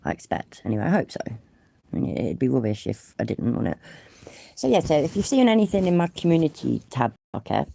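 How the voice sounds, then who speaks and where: A woman's voice: a bass voice, big pitch swings, a little fast, gravelly, warm tone, no room sound. A chatty woman with a stuffed-up cold, hosting a casual livestream on her phone and swearing freely. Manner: chatty, sweary, self-deprecating. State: congested with a cold. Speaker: livestream host. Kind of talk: casual live stream chat.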